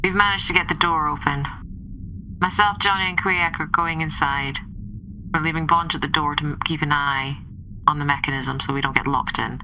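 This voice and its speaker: Woman playing a bright, low-voiced starship commander. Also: fairly even intonation, normal speed, slight background noise, rough recording. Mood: businesslike, commanding. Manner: clipped, commanding. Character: calm, cautious female starship away-team commander. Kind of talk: tabletop roleplay in character.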